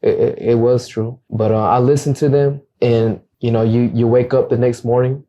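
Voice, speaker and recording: A man's voice: mid-range voice, little pitch movement, normal speed, mellow. A young man whose first language is Acateco, speaking earnestly as a guest realtor in a casual interview and often repeating words as he starts a thought. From United States.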